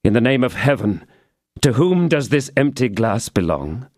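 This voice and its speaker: A man voicing a grave older musketeer who speaks in a low, faltering, solemn way. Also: sing-song, moderate pace, a little mellow, no echo, clean studio sound.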